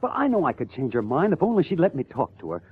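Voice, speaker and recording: An actor playing a lovesick, anxious young suspect, earnest and defensive, in a 1950s radio crime drama. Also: male voice, on the high side, wildly swooping pitch, fast, a little hiss or hum. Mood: earnest pleading. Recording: tinny, phone-like old radio recording.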